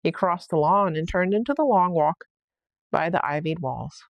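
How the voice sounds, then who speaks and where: A woman's voice: on the low side, animated, moderate pace, creaky, no room sound. A woman narrating a classic storybook as a bedtime read-aloud. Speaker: story reader.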